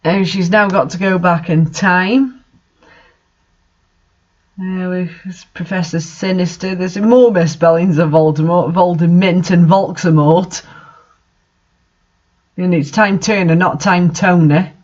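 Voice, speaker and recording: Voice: mid-range voice, steady tone, takes it slowly, long pauses, a little mellow. A reader narrating fan fiction and commenting on it casually, with an incredulous, sarcastic, sweary manner. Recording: dull lo-fi home recording.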